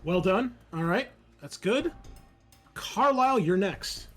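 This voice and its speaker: Man game master with slightly high voice. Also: animated, slow pace, fairly bright tone, faint music behind. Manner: conversational, explains rules with fillers. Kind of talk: running a tabletop horror roleplaying game.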